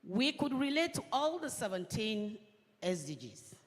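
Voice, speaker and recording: A woman from Cameroon, speaking as a panelist in measured, earnest phrases. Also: on the high side, sing-song. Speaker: panelist, women's organization coordinator. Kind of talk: conference panel remarks. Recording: long reverb of a large hall.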